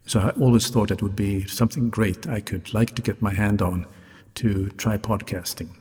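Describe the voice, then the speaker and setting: A man who is a non-native English speaker hosts a solo podcast, articulating carefully and deliberately in a slightly raspy voice. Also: lowish voice, fairly even intonation, darkish tone. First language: not English. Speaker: podcast host, hotelier. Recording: reverberant hall-like sound, music behind.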